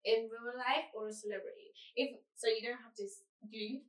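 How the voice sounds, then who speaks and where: A woman's voice: a little high, fairly even intonation, brisk. A young woman from Kenya chatting casually and teasingly as she reads out challenge questions. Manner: playful, teasing. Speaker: vlogger asking challenge questions. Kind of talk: casual question-and-answer chat. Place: echoey room. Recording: echoey room.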